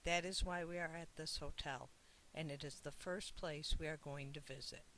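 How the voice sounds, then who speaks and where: A narrator as a gentle, reassuring storybook mother. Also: mid-range voice, steady tone, a little hiss or hum, low-quality recording. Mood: solemn. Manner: soft, slow, tender.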